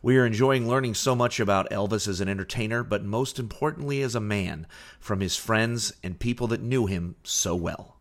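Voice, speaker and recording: A man's voice: on the low side, normal speed. A man reading a scripted podcast announcement as its host. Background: loud guitar music.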